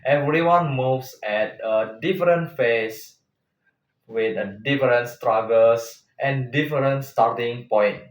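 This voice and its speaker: A man delivering a prepared motivational speech as a contestant, in short, deliberate rhetorical phrases. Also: mid-range voice. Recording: echoey room, distant mic, faint noise.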